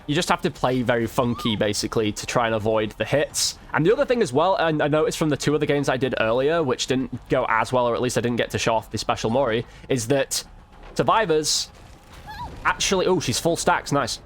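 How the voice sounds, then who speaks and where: A man's voice: mid-range voice, animated, brisk, a little hiss or hum. A man giving casual gameplay commentary, informally thinking aloud as he plays. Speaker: gaming video creator.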